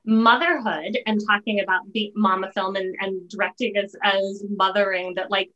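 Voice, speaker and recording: A middle-aged woman hosting a casual video-call interview in an effusive, halting way. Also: mid-range voice, steady tone, sharp tone, muffled recording. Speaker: host, interviewer. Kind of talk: casual enthusiastic interview chat. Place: indoor room, video call. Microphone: video call microphone.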